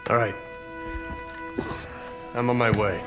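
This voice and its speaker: An actor playing a weary, stoic special-forces soldier, terse and subdued, in a game cutscene. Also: male voice, medium pitch, fairly even intonation, takes it slowly, natural voice. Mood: weary, resigned. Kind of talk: video game cutscene acting. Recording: loud music over the dialogue.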